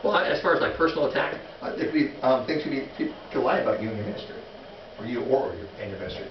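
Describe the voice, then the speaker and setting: A man teaching a missions class in a relaxed, halting way, with many restarts and fillers while telling stories. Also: mid-range voice. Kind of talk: informal classroom lecture. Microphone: distant room mic. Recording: echoey, distant, muffled classroom recording with noise.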